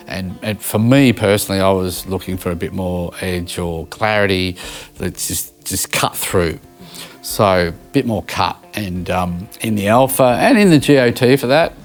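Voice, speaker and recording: A mature Australian man being interviewed as a sound engineer, telling anecdotes informally in halting phrases. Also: low voice. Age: middle-aged or older. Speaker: interviewed live sound engineer and audio company owner. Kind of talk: casual interview reminiscing.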